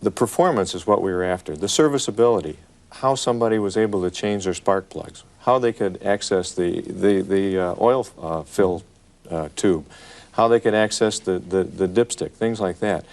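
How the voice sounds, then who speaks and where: A man's voice: low voice, moderate pace, darkish tone. An American man speaking as an engineer in a panel discussion, explaining his work with emphatic repetition. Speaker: truck engineer. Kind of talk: panel discussion about product development.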